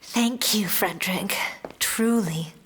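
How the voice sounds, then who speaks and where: A woman playing an anxious, guarded young noblewoman in a fantasy audio drama, speaking in measured, formal, earnest phrases. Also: medium pitch, slight background noise, natural voice. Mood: grateful, guarded.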